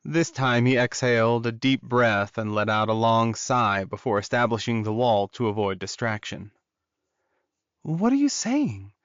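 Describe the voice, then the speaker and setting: A man's voice: medium pitch, fairly even intonation, moderate pace, hardly any pauses, a bit bright, clear, resonant tone, no echo, lo-fi sound. Dry-sounding male audiobook narrator. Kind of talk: scripted fiction narration.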